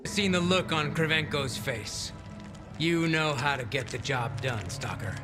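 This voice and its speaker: A man playing a gruff, blunt, foul-mouthed military commander in a video game cutscene. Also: a little high, unhurried, over music, natural voice. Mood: gruff, dismissive.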